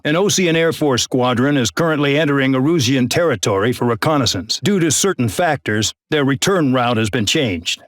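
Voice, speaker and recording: An actor playing a harsh middle-aged colonel who commands a penal squadron, barking orders and threats with open contempt in a video game. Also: male voice, medium pitch, no room sound, clean studio sound, close to an everyday voice. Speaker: commanding officer giving mission briefings.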